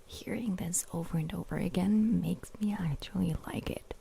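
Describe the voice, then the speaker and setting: A woman streaming ASMR, chatting casually to viewers in a soft, breathy whisper close to a sensitive microphone. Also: fairly low pitch, moderate pace, slight background noise.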